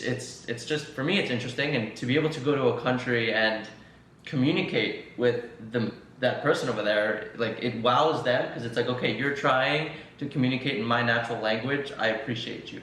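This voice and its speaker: A man's voice: mid-range voice, a bit bright. A young man from the United States hosting a casual podcast, chatty and playful with lots of fillers. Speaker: podcast host interviewing his co-host. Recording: echoey room, distant mic.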